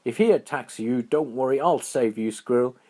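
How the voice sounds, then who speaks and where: A man's voice: mid-range voice, moderate pace, darkish tone, voice pushed up, close to an everyday voice. A man voicing a friendly, brave and loyal young-hearted adventurer in a children's bedtime story, speaking earnestly in simple exclamations. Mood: reassuring.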